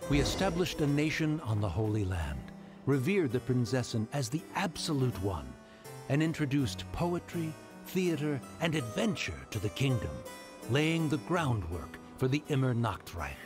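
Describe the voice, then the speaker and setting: A solemn storybook narrator, acted by a man, with a mid-pitched voice and lively, theatrical swells. The delivery is grandiose and reverent, like a fantasy game legend, over clear music. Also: normal speed, lightly acted.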